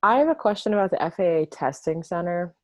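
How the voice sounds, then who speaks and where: A woman's voice: lowish voice, expressive, quick pace, dry recording, studio quality. A woman casually asking questions as a participant in an online meeting over a video call.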